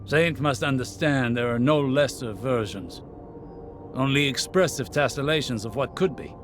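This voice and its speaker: A man's voice: mid-range voice, moderate pace, over music, close to an everyday voice. Man playing an intense, formal scholar-warrior. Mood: resigned, formal. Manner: earnest, formal, weighty. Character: proud, intense male scholar-warrior, protective and troubled. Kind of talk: video game character acting.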